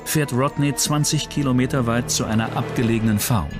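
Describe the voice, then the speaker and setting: A man's voice: mid-range voice, brisk. A man reading scripted true-crime documentary narration in pronunciation. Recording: music bed under the voice. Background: background music.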